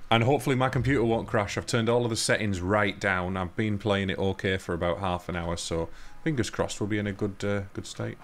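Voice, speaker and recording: A man's voice: lowish voice, a little fast, few pauses. A British man hosting a gaming livestream, chatting casually and swearing freely in a laid-back way. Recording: faint noise, game gunfire behind.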